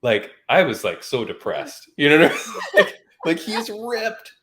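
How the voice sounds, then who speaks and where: A man from Canada hosting a podcast, talking in a relaxed, chatty way. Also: fairly high pitch, measured pace, a little hiss or hum. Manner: relaxed, chatty, frequent "like" and "I mean". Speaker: podcast host. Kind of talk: casual podcast chat.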